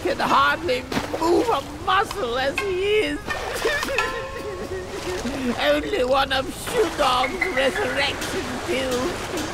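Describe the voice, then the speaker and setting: An actor voicing a sly, self-pitying old creature villain in a video game, with a gravelly, theatrical, drawn-out delivery. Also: high voice, expressive, rough recording. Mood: bitter, mocking. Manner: slow, drawn-out, theatrical, punctuated with dark laughter. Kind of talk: dramatic video game cutscene acting. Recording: loud music over the voice.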